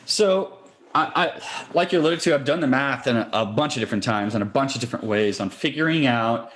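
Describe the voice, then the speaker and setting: A man's voice: mid-range voice, lively intonation, brisk, fairly bright tone. A man speaking as a podcast interview guest, a real estate investor explaining things conversationally and step by step.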